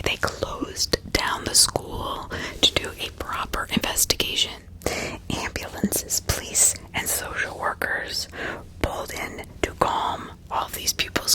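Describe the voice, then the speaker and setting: A woman whispering ASMR true-crime commentary in a breathy, unvoiced, conversational way with emphatic repetition. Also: rough recording. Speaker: ASMR host.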